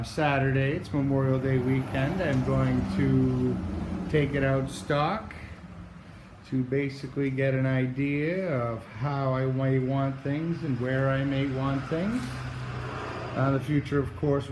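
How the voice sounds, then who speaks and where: Slow, halting man in an echoey garage. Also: medium pitch, a little mellow, slight background noise. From New Hampshire. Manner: slow, halting delivery with many uh's and restarts. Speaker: outdoors vlogger. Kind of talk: casual show-and-tell walkthrough. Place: garage, then outdoors by a driveway. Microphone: handheld phone camera, moving around.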